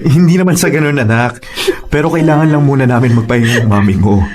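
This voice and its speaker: A man's voice: mid-range voice, fast talker, crowd noise, lightly acted. A man acting a wounded young father in a Filipino radio drama, speaking with emotion. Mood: sad, consoling.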